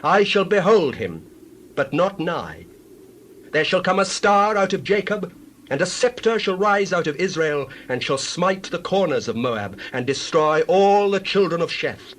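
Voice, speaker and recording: A man playing a solemn prophet, declaiming visions with measured, oracular pauses in a dramatized Bible reading. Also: high-pitched, very animated, a bit bright, heavily acted. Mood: solemn, entranced.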